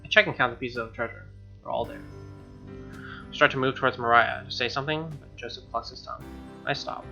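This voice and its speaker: Bright-voiced, snarky man on a dull mic. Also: medium pitch, steady tone, fast talker, gravelly, pauses often. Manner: snarky, profane asides. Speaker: gaming video host. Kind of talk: reading a visual novel aloud with casual commentary. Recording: dull lo-fi mic over music. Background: game music with guitar.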